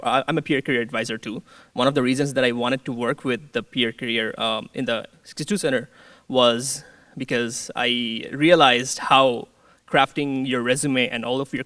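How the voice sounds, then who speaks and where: Gravelly-voiced young man, from Bangladesh. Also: mid-range voice, fairly bright tone, dry recording, distant mic. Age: young adult. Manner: hesitant, many fillers and restarts. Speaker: student peer career advisor. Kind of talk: panel introduction.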